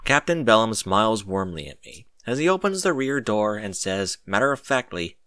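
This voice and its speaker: A man narrates a story in the first person with dramatic expression. His voice is fairly low and bright, with lively swings in pitch. The sound is dry and close. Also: normal speed, dry recording.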